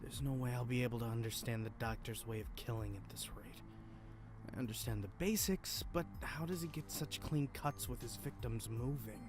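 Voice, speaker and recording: A man's voice: mid-range voice, normal speed, close to an everyday voice. A man playing an obsessive, cold and irritable killer in a visual novel, speaking intently and often slipping into whispers. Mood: irritated, menacing. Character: obsessive male killer, studiously copying another murderer's methods, cold and irritable. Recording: music playing behind the voice. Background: music.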